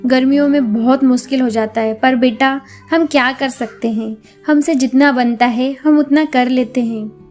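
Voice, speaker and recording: Patient mother of a poor family, acted by a woman: high, gentle, resigned, storybook drama over music. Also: steady tone, moderate pace, lightly acted. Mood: resigned, tender. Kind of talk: acted children's moral story. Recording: music behind the voice.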